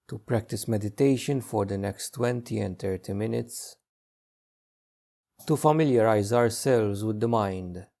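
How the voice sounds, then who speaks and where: Male meditation guide, gentle delivery, unhurried with long gaps, noticeable vocal fry, warm dark tone. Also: medium pitch. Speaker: meditation teacher.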